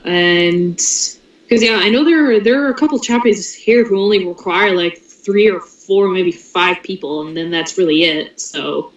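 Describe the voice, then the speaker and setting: A woman's voice: on the low side, measured pace, lo-fi sound. A woman talking casually as an organizer in an online group meeting. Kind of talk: casual discussion. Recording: distant echoey voice call.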